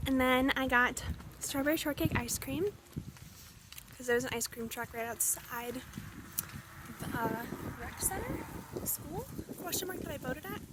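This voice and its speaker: A woman in her twenties talks casually in a home video, with a high voice. She rambles and corrects herself mid-sentence. Loud background noise runs under the whole clip. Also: lively intonation, a little slow, long pauses. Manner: chatty, rambling with self-corrections.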